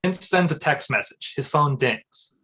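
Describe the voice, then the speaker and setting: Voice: medium pitch, lively intonation, measured pace, pushed and bright, husky. Table-read narrator, mid-range voice, reading stage directions as scripted narration.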